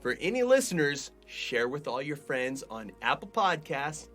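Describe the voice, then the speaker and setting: A man co-hosting a podcast in casual, jokey banter, quick to laugh. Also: a little high, faint music behind. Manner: animated, jokey, laughs easily. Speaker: podcast co-host, voice actor. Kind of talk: casual banter, reading listener reviews.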